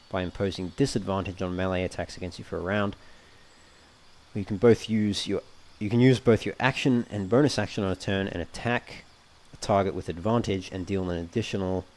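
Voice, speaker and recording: A man giving casual let's play commentary, thinking aloud in a measured, understated way and often reading game text out. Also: low voice. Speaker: gamer narrating a playthrough.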